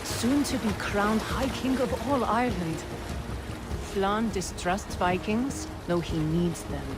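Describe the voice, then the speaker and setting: A woman's voice: mid-range voice, slow pace, heavy background noise, close to an everyday voice. An actress playing a shrewd, courteous trader woman from the east in a video game, with formal, wry, playful phrasing. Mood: measured, storytelling. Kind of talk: video game cutscene acting. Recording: music under the voice.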